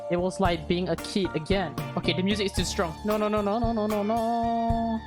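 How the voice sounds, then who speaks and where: A woman's voice: mid-range voice, flat delivery, a little fast, over music. A woman gaming host reading a visual novel aloud, breaking off for chatty, self-correcting asides.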